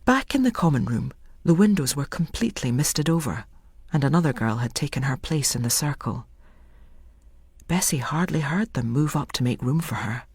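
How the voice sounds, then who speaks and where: A woman narrating a story in a hushed, sometimes near-whispered manner. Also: extremely low pitch, lively intonation, moderate pace, slightly rough, no echo, studio quality. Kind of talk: scripted audiobook narration.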